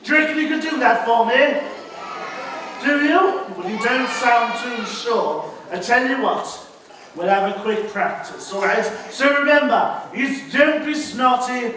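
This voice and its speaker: A man's voice: extremely high pitch, expressive, measured pace, pushed and bright, full character voice. A man playing a boisterous, cheeky, motherly middle-aged village woman as a British pantomime dame, chatting theatrically to the audience. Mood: coaxing, rousing. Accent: British English. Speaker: pantomime performer. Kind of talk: live pantomime monologue with audience address. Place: theatre stage, recorded from a distance. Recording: roomy echoing stage, distant mic, faint noise.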